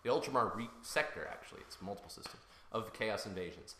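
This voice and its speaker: Lively, bright-voiced man in echoey room. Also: on the low side, fast talker, a little hiss or hum. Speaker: podcast host recounting game lore. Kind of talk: casual podcast storytelling. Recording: roomy, echoey amateur podcast recording.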